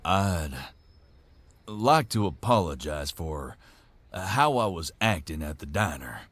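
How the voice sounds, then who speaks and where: A streamer voicing a big, anxious male monster with a rumbling, halting, apologetic delivery in a visual novel. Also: lively intonation, a bit bright, no room sound, heavily acted. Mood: awkward, apologetic. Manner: halting, hesitant.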